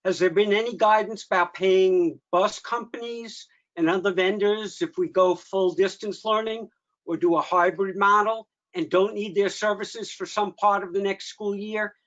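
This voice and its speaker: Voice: medium pitch, steady tone, normal speed, a bit bright. Informal meeting moderator, slightly muffled audio. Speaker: meeting moderator reading chat questions. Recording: dull lo-fi video call audio.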